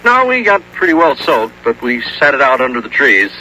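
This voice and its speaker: A man's voice: medium pitch, sing-song, a little fast, sharp tone, a little hiss or hum, rough recording. An actor playing a folksy family man being interviewed in a 1970s radio drama, chatty and a little hesitant. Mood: casual, evasive.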